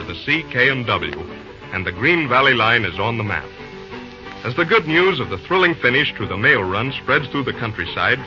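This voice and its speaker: A man's voice: mid-range voice, pushed and bright. A man narrating an old-time radio drama as its announcer, in a scripted storytelling style. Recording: muffled old radio recording, faint music.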